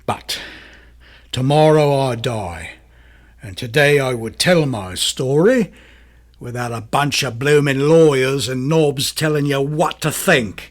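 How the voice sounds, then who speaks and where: An actor playing a chummy, unhinged London man on trial, justifying himself in a radio drama monologue. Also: on the high side. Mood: defiant.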